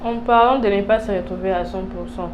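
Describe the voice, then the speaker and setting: A woman's voice: mid-range voice, a little fast, lo-fi sound. A woman with a Congolese French accent hosting a casual interview, searching for words and mixing in English. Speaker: host, interviewer. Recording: clear background noise.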